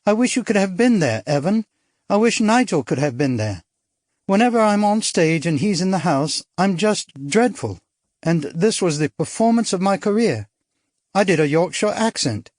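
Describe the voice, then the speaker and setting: A man's voice: sits high, big pitch swings, moderate pace, darkish tone, dry recording, clean studio sound, voice pushed up. A man voicing an arch, daring young actress. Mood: arch, playful. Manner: arch, playful, theatrical. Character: witty, daring young upper-class Englishwoman, an actress.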